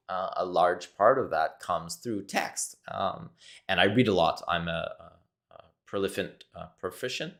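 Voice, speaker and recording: A man from Quebec whose first language is French, speaking English with a Québécois accent in a searching, repetitive solo monologue to camera. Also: deep voice. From Quebec, Canada. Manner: searching, repetitive, thinks aloud. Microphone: camera mic, talking to camera.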